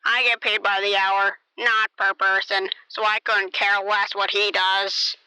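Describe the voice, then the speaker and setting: A woman's voice: mid-range voice, flat delivery, unhurried, pushed and bright, smooth, no echo, poor audio. A woman playing a jaded, gossipy clinic receptionist in an amateur radio play, blunt, dismissive and matter-of-fact.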